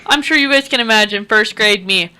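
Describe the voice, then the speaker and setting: A woman's voice: mid-range voice, a little fast, bright, forceful tone, slight background noise. A young woman co-hosting a radio show in casual chat, musing aloud and filling her thoughts with "like". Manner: musing, lays out ideas with many "like"s.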